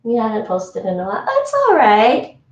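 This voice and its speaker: A woman's voice: fairly high pitch, huge pitch swings, normal speed, recorded from a distance, dull audio. A woman from Toronto, Canada, chatting casually with her stream chat while cooking in a home kitchen, laughing often. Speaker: livestreamer. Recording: echoey room, voice off-mic.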